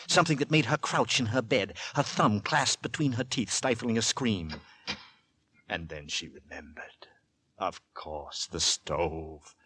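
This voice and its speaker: An actor narrating a Victorian horror tale in a grave, measured, dramatic 1940s radio suspense style. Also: male voice, fairly low pitch, a bit bright, a little hiss or hum. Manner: measured, dramatic, brooding delivery. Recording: vintage 1940s radio recording with music.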